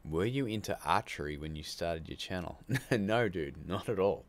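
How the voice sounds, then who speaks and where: Low-voiced aussie guy rambling through a livestream, reading chat and laughing a lot. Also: animated, normal speed. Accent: Australian. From Australia. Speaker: livestream host. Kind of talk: casual live-stream chat reading viewer comments.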